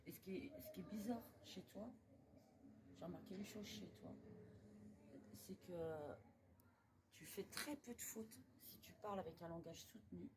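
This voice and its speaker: A woman's voice: medium pitch, normal speed, pauses often. A woman chatting casually with a coworker, hesitant and repeating words. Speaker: hospital coworker. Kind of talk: casual chat. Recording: noisy background.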